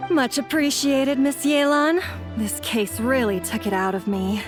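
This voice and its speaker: A woman's voice: high-pitched, fairly even intonation, a little slow, music in the background. An actress playing a weary young woman police officer in a video game, polite and wry. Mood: grateful, tired. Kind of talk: scripted video game dialogue.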